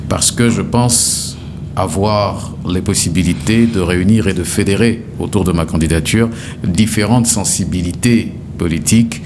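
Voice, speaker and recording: A middle-aged man from Kasai in DR Congo, a candidate answering interview questions in a measured, formal way with frequent hesitations. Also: sits low, noisy background. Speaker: gubernatorial candidate.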